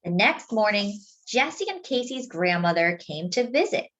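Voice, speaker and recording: A woman reading a picture book aloud to young children, expressive and deliberate, drawing out key words. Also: mid-range voice, muffled recording. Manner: deliberate, with drawn-out emphasis for young listeners. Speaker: children's storyteller.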